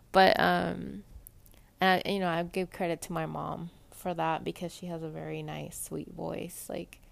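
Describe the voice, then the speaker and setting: A young woman talks in a soft, pleasant voice, rambling casually through her week with plenty of fillers. Her tone sits low and carries a gravelly fry. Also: little pitch movement, unhurried. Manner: chatty, rambling, many fillers. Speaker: podcast host. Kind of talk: casual personal monologue.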